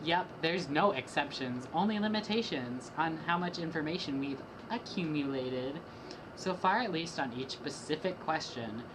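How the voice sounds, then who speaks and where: A woman's voice: sits low, expressive, moderate pace, background noise. A young woman doing a dramatic reading of rap lyrics as a student project, trading rhythmic lines with a partner. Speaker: student reader.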